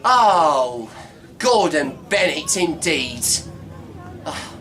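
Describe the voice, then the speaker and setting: A man's voice: on the high side, very animated, extremely slow, fairly bright tone, faint music behind, exaggerated voice. A man playing a brash male Cockney market trader with pushy sales patter who keeps saying "of course". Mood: deflated. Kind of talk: comedy sketch character monologue.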